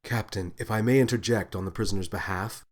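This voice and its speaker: A man voicing a calm, patient female ship's officer in a children's audiobook, dry, polite and flat. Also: fairly low pitch, brisk, close to an everyday voice. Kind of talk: children's space adventure audiobook.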